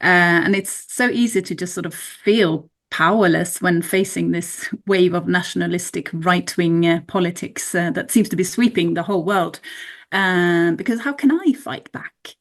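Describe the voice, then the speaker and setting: A woman's voice: lowish voice, moderate pace. A woman from Sweden, a native Swedish speaker, talking earnestly as a panel guest in a reflective, hesitant way. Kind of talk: earnest casual discussion.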